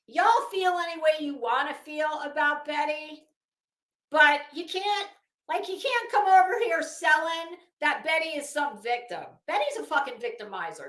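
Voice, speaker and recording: A woman hosts a stream in a high, bright voice. She speaks slowly and theatrically, mixing dramatic reading with sarcastic asides. The room around her voice is echoey. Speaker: livestream host. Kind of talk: dramatic reading with off-the-cuff commentary. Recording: echoey, roomy sound.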